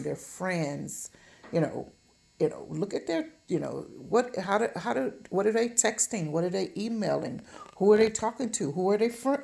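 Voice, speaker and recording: A woman's voice: low voice, creaky, a little mellow. A woman giving an informal relationship advice monologue, speaking emphatically and bluntly, admonishing and repeating phrases for stress. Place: at home.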